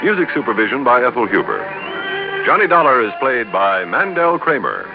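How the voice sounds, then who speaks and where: A man's voice: mid-range voice, wildly swooping pitch, moderate pace, gravelly. A man with an American accent reading scripted announcements as an old-time radio announcer. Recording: tinny old radio recording, music behind.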